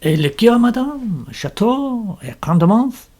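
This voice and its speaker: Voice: medium pitch, very animated, a little slow, mellow, pitched above the performer's own voice. Hercule Poirot, courtly with a French accent, as a narrator reads him. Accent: French-flavoured. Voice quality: courtly, formal. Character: Hercule Poirot, polite small Belgian detective.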